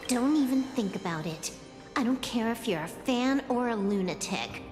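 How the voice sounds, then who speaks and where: A woman voicing a cool, sassy, impatient young hacker in a video game, with a dismissive deadpan manner. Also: fairly high pitch, normal speed, soft background music, lightly acted. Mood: irritated. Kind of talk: scripted video game acting.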